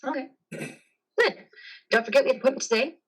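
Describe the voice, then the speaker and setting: A woman's voice: high-pitched, a little fast, a bit bright, breathy edge. A woman playing a friendly, encouraging psychiatrist in a dramatic play reading. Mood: friendly, reassuring.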